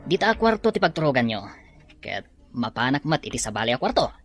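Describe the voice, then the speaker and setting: A man's voice: mid-range voice, sing-song, brisk. A sly Filipino sidekick in a radio drama, talks in swoops that leap about. He is hesitant and teasing, snickering as he defers to his boss. Music plays behind him. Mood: sly scheming.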